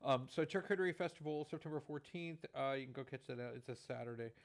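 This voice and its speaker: A man's voice: mid-range voice, fast, no echo. A man with a Texan accent hosts a local radio show from a studio. He talks in a loose, rambling way, full of restarts and fillers. His voice sits mid-range and sounds dry and close. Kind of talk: casual radio talk show chat.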